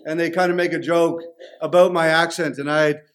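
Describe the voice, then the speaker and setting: Canadian man preaching, slightly high voice. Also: fairly even intonation, moderate pace, clean tone. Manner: conversational storytelling preaching. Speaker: pastor. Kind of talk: sermon.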